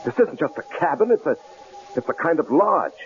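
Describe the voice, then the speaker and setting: An actor playing a nervous, cautious husband in a 1970s radio drama, hesitant and fretful, with a stammering delivery. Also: male voice, fairly high pitch, very animated, brisk. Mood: fretful, insistent. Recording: dull, noisy old radio broadcast recording.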